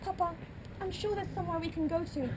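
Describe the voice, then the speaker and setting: A woman's voice: extremely high pitch, fairly even intonation, fast talker. A woman playing a panicked young bride. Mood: desperate. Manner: tearful pleading, breathless outbursts. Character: frightened young bride, desperate, pleading. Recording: loud background noise.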